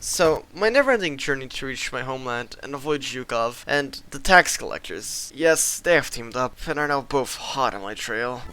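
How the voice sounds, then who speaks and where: Bright-voiced young man, mock-epic narration. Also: medium pitch, moderate pace, few pauses, clean tone, low-quality recording. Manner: deadpan mock-epic, swears casually. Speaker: gaming vlogger. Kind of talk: comedic scripted narration over gameplay.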